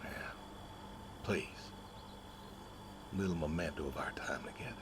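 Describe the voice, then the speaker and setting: Low-voiced, plainspoken pig farmer. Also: male voice, background noise. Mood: gentle, proud. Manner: folksy, halting, understated. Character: quiet, stubborn, plainspoken middle-aged male pig farmer, grieving. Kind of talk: naturalistic dramatic game dialogue.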